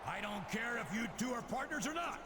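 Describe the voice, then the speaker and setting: Casting: domineering wrestling boss for a game, pompous, high-pitched, heavy noise. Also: male voice, expressive, brisk. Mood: stern, threatening. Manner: pompous, emphatic. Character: domineering, smug male wrestling company chairman. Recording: loud arena-like background noise.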